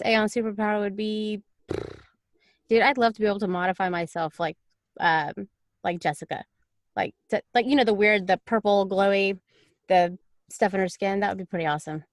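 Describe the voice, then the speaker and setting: A woman narrator chatting casually, halting and slangy, with a self-deprecating way of talking. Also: medium pitch, dry recording, clean studio sound. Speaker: audiobook narrator. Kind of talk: casual podcast chat.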